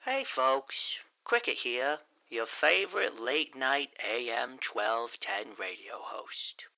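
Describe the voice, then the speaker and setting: Cricket, a gravelly radio pitchman. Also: expressive, slow, pushed and bright, muffled recording, full character voice. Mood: chummy pitch. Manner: folksy salesman patter. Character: slick late-night male radio host pitching. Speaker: radio host in an ad. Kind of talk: audio drama acting.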